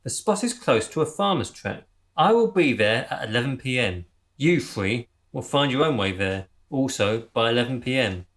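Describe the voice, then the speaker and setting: Excitable, pompous crop-circle gang leader. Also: male voice, medium pitch, moderate pace. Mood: pompous, businesslike. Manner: stammering and spluttering when worked up, otherwise pompous and precise. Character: earnest, easily flustered male crop-circle gang leader. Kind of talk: radio comedy drama acting.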